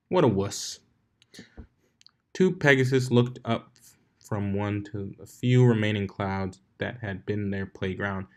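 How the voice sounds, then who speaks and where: A man's voice: lowish voice, a little mellow. A man reading fan fiction aloud as a scripted story narration.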